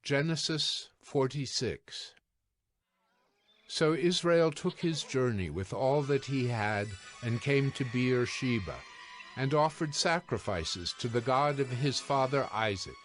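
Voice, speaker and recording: Male scripture narrator over soft music. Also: mid-range voice, lively intonation, takes it slowly. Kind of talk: scripted dramatized Bible narration. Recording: music behind the voice.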